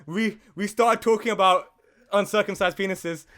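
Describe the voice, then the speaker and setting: A man's voice: high-pitched, steady tone, normal speed, fairly bright tone, smooth. A man co-hosting a casual podcast with brash, sweary, jokey banter. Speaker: podcast host. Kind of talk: casual chat.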